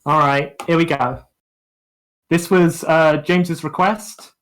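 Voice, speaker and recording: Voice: medium pitch, fairly even intonation, takes it slowly, a bit bright, rough recording. A young adult hosting a livestream, chatting casually in a playful, self-mocking way. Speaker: livestream host and kazoo performer.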